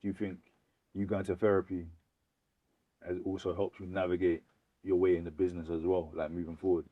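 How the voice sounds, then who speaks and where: A man's voice: low-pitched, little pitch movement, a little fast, dry recording, narrow, tinny audio. A man with an urban British accent interviewing someone casually, with informal slang and affirming remarks.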